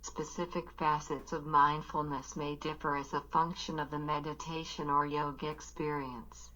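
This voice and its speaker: A woman's voice: low-pitched, level tone, moderate pace, bright, forceful tone, poor audio. A synthetic woman's voice reading academic text aloud in an impersonal, formal manner. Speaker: text-to-speech article reader.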